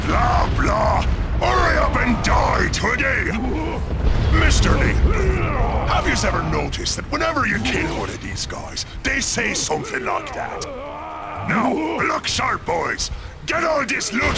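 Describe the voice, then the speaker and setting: Gravelly Cockney ork warboss, brash. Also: male voice, extremely high pitch, very animated, measured pace, shouting, loud music behind the voice, full character voice. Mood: mocking, bossy. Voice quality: gravelly, growling. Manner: coarse, bellowing, broken grammar. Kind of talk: video game cutscene acting.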